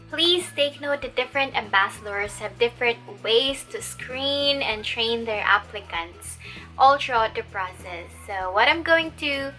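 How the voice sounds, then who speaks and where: A woman's voice: fairly high pitch, bright, forceful tone. A young adult woman, an online English teacher chatting upbeat to her viewers, calling them guys. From Philippines. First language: Tagalog. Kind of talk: casual talk to camera.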